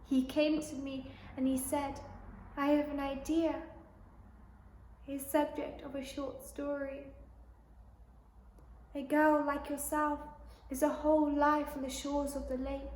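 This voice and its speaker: A woman playing a weary, wounded young actress in a halting, drifting stage monologue. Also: high voice, a little mellow, reverberant, a little hiss or hum. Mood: wounded, distracted. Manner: halting, repeating, drifting between thoughts. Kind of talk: stage monologue, classic tragic drama.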